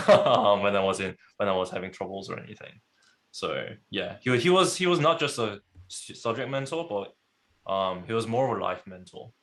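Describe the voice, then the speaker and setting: Man, young adult, born in Korea, low pitch, casual, laughs, restarts. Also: very animated, a bit bright. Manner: laughs often, halting restarts. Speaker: guest. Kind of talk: casual podcast interview.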